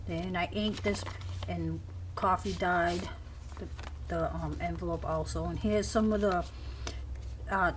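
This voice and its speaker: Woman crafter, scratchy voice, chatty page-by-page walkthrough, recorded on camera at a home table. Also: on the low side. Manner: chatty, describes each page as she flips. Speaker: crafter showing a handmade journal. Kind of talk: casual show-and-tell.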